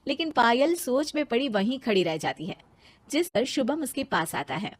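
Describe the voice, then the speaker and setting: Lively woman narrating a cartoon story. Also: fairly high pitch, normal speed, no room sound. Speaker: narrator and lead voice.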